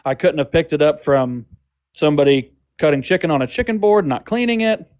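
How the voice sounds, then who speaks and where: Male guest debater, slightly high voice, defensive and dismissive, argues in rapid restarts. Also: lively intonation, a little mellow, clear, resonant tone, no room sound, lo-fi sound. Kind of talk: heated live debate.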